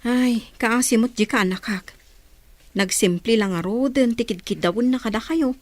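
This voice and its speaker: A woman's voice: fairly high pitch, moderate pace, close to an everyday voice. A woman playing an inquisitive, sympathetic aunt in a radio drama, with an Ilocano accent.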